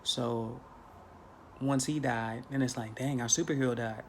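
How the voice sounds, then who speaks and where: A man's voice: medium pitch, fairly even intonation, a little slow, a little hiss or hum. A man in his thirties talking casually into a phone camera in a small bedroom, preachy and emphatic, repeating himself for effect. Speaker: spiritual vlogger. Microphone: phone camera at arm's length.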